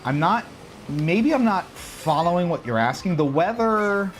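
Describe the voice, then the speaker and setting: A man giving casual, joking commentary while he plays a racing game on a livestream, reacting out loud to every turn. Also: high-pitched, animated, a little slow, soft background music. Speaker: livestream gamer.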